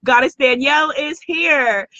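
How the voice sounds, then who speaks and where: A woman hosting a live stream, her voice slightly hoarse from overuse, warmly shouting out viewers one by one before settling into a motivational talk. Her voice sits high and bright. The sound is dry and a touch dull. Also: a little slow, no echo. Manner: effusive, shouts out viewers by name. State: slightly hoarse, voice partly lost. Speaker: spiritual business coach hosting a livestream.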